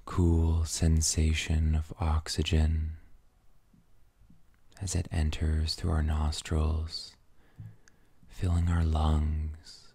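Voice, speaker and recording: Very deep, slow male meditation guide. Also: no echo. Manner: gentle, breathy, near-whispered, with long pauses. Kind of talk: guided meditation, soft and soothing.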